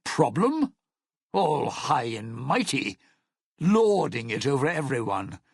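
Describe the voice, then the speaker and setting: Game role: smug, vengeful crooner-thief, low husky rasp, widely swinging sardonic delivery. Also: male voice, dry recording, studio quality, heavily acted. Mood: resentful, heated. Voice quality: husky, gravelly. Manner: sardonic, theatrical, sneering. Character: bitter, scheming male lounge singer and thief, smug and vengeful. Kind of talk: video game character dialogue.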